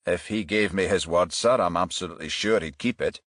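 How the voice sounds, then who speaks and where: A man voicing a brisk, irritable detective in charge, clipped and commanding, in a crime audiobook. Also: deep voice, a bit bright, no room sound, clean studio sound. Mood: earnest.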